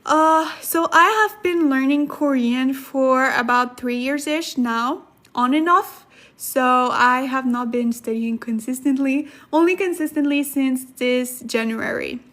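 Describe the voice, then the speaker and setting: A woman's voice: high voice, measured pace, a bit bright. A woman hosting a casual language study livestream, chatting with viewers in a giggly way and repeating herself for emphasis. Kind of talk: casual livestream chat and lesson, reading viewer comments.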